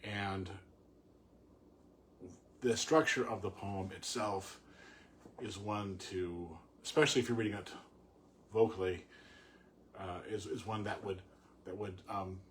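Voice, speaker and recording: Slow, low-voiced man over background noise. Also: fairly even intonation. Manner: reflective, halting, explanatory. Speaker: poet reading and commenting on a poem. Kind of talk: poetry reading with informal commentary. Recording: clearly audible background noise.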